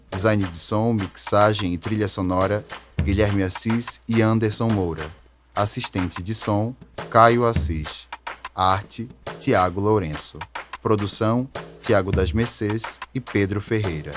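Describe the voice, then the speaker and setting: A man reading a scripted podcast outro and credits as the announcer. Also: fairly low pitch. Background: music under the voice.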